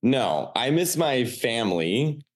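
A man's voice: medium pitch, very animated, slow. A man in his thirties with a Chicago accent, a stand-up comedian talking casually as a guest, rambling and cutting himself off. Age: 30s. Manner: rambling, self-interrupting, chatty. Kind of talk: casual conversation.

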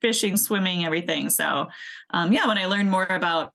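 A woman's voice: fairly low pitch, a little fast, gravelly, fairly bright tone. A young woman from northern Wisconsin, a podcast guest talking casually with frequent yeahs and ums. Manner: friendly, laughing, fills with um and yeah. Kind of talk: casual interview.

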